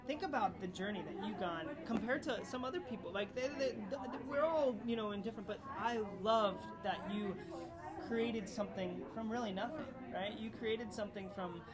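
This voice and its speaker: An enthusiastic woman interviewer with a mid-range voice talks in a casual, effusive way. She is recorded at a busy event, with clear crowd chatter behind her. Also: medium pitch, moderate pace.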